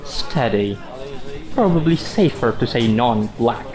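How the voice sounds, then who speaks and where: A man's voice: mid-range voice, animated, takes it slowly, crowd noise. A man playing a sarcastic, defensive office worker husband in a radio drama, sardonic and emphatic. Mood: tense, bitter. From Indonesia. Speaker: actor in a student radio drama.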